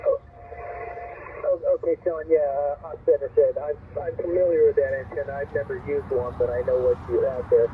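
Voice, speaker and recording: Over a thin, phone-like radio link with light noise, a man speaks at a middle pitch. He is a ham operator chatting casually, halting and unassuming as he talks through technical details. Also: level tone. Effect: two-way radio. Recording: narrow tinny radio link, faint noise.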